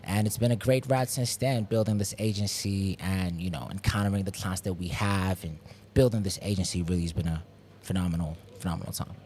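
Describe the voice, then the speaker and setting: Low-voiced young South African man. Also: steady tone, fairly quick, darkish tone, slight background noise. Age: 20s. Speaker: agency founder guest. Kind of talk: casual interview talk.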